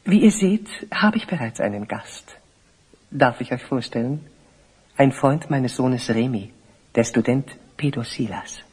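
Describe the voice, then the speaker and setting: A dignified older parent and host, measured and hospitable. The voice is very hoarse and airy at a middling pitch, in formal audio-play delivery. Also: male voice, lively intonation, a little mellow. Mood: courteous. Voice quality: very husky, breathy. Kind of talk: German fantasy audio play acting.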